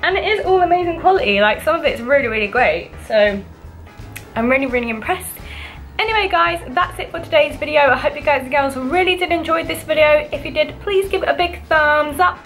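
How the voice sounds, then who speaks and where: A woman's voice: high-pitched, fairly quick, a bit bright, faint music behind. A young woman gushing enthusiastically as she unboxes and chats to her viewers. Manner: gushing, enthusiastic, repeats "really, really". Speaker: vlogger. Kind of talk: casual unboxing chat.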